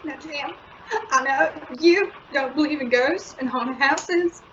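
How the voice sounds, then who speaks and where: Woman playing an anxious high-voiced radio mom. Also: takes it slowly, fairly bright tone, exaggerated voice. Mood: placating, doubtful. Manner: placating, anxious. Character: gentle but worried, placating wife and mother. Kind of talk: old-time radio sitcom acting. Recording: dull lo-fi radio play recording, faint noise.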